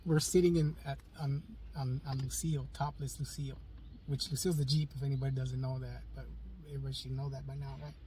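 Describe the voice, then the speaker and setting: A man's voice: medium pitch, level tone, fast, dark tone. Rambling podcast guy, a bit distant. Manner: rambling, repeats himself, dad jokes. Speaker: podcast co-host. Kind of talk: casual chat. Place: in a topless Jeep, outdoors. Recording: faint noise, distant from mic.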